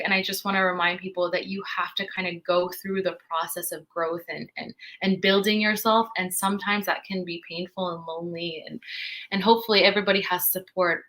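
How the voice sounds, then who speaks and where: A woman's voice: mid-range voice, bright, forceful tone, rough recording. A woman panelist speaking earnestly and encouragingly, with frequent fillers and restarts. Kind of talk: panel discussion.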